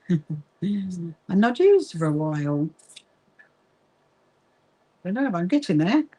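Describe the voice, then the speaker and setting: Brief: woman host, Kentish-Sussex accent, lowish voice, relaxed chatty livestream talk, greets viewers as she sews. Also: slow pace, husky. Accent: Kentish mixed with Sussex. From south coast of England. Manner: chatty, greets viewers and answers their comments. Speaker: livestream host of a quilting association. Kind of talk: casual livestream chat while sewing.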